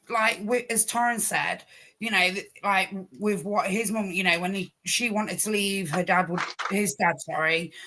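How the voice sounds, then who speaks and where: A woman's voice: medium pitch, a bit bright. A woman from Nottingham with an East Midlands accent, co-hosting a podcast in casual, joking chat and correcting herself as she goes. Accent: English East Midlands. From Nottingham, England. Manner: chatty, self-correcting, joking. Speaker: podcast co-host.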